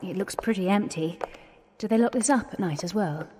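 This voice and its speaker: A woman's voice: medium pitch, moderate pace, background noise, natural voice. An actress playing an exasperated, bossy young woman of the 1920s in a radio play, with a prim English accent. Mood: shaken. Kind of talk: radio theatre acting. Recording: long echoing hall, music behind.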